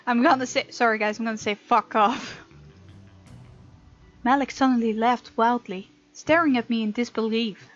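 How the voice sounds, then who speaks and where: High-voiced woman narrating a game playfully. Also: normal speed. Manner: playful, expressive, reactive. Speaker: gameplay host reading a visual novel aloud. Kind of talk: story narration with playful let's play commentary. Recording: dull, lo-fi sound with music behind.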